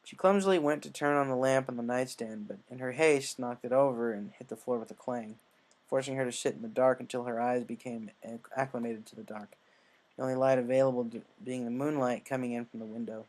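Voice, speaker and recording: Male story reader, mid-range pitch, scripted narration, measured delivery, occasional stumbles. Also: steady tone, a little mellow, low-quality recording.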